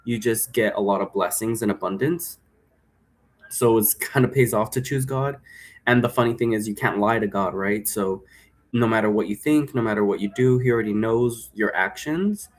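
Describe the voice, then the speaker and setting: A man's voice: lowish voice, moderate pace. A man explaining a role-play game in a casual, improvised, rambling way, often checking for agreement. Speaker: game creator explaining rules.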